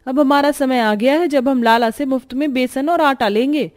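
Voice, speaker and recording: A woman's voice: high-pitched, moderate pace, a little mellow, voice pushed up, close to an everyday voice. A woman voicing a hopeful, persuasive young sister-in-law in a cartoon story, earnest and upbeat. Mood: eager, persuasive. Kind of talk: cartoon story character dialogue.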